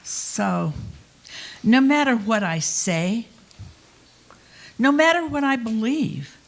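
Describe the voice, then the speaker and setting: A woman's voice: a little high, lively intonation, slow. A woman performing a theatrical scripture reading, drawing out key words for emphasis. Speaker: reader in a group dramatic reading.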